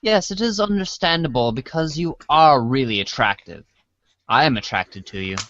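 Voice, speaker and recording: Man playing a bright, swooping earnest boy. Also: on the high side, lively intonation, normal speed, lo-fi sound, a little hiss or hum. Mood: earnest, exasperated. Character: earnest, awkward boy hero of a storybook comedy.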